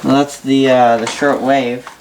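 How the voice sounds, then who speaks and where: A young adult man with a Canadian accent giving a casual, unscripted hobby demonstration in a halting, rambling way, often repeating words. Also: medium pitch, flat delivery, darkish tone, low-quality recording. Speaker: vintage radio hobbyist and host. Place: indoor workbench in a room. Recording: home video with faint background noise.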